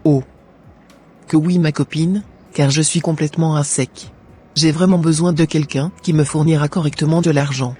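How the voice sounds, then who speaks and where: Guy doing a young churchgoing woman in a cartoon, a bit high and husky, earnest. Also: steady tone, moderate pace, dark tone, faint music behind. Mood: chatty. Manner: earnest, plaintive, then grateful and pious.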